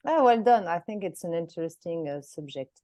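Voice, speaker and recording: A woman's voice: on the low side, expressive, fairly quick, mellow, dry recording, clean studio sound. A woman hosting a webinar, asking her guest questions and giving examples over a video call. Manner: asks questions, gives examples. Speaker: webinar host. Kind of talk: interviewing a guest.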